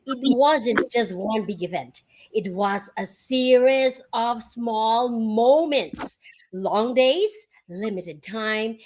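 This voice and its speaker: Lady guest, slow and deliberate, kinda higher voice, motivational. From Philippines. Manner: measured, deliberate, inspirational phrasing. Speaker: panel guest, early childhood educator. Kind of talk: prepared motivational answer.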